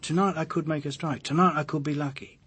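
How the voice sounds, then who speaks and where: A man's voice: on the high side, steady tone, fast talker, fairly bright tone, slight background noise, lightly acted. A man playing a weary, haunted police detective in a radio drama, brooding and grimly emphatic. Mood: brooding, intense.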